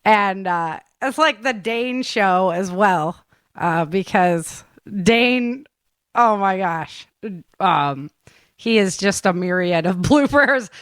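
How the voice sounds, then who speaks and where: A woman's voice: mid-range voice, expressive, takes it slowly, a bit bright, dry recording, clean studio sound. A woman hosting a podcast in casual chat, with an informal, rambling, giggly way of talking. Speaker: podcast host.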